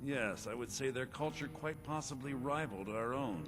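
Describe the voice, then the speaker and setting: An actor playing a knowing, earnest male elven wizard scholar in a fantasy video game, speaking in a measured, expository way. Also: medium pitch, over music, lightly acted. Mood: lecturing.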